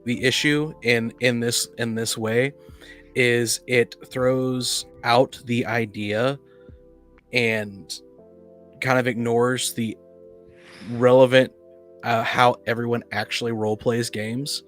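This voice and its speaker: A man in a casual debate, disagreeing insistently with halting, restarted phrases. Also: mid-range voice, level tone, a bit bright, soft background music. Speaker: panelist in a tabletop gaming discussion.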